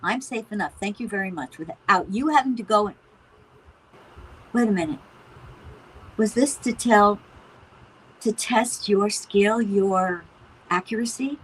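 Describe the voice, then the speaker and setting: A woman's voice: medium pitch, measured pace, pauses often, slight background noise, lightly acted, poor audio. A woman playing a frazzled, sardonic mother of a grown son, dry and exasperated, in naturalistic play dialogue. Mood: sardonic, indignant.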